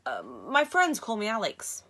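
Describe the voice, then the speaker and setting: A woman voicing a quick-witted, wary teenage boy who answers in a quick, offhand way. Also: a little high, huge pitch swings, pushed and bright, heavily acted.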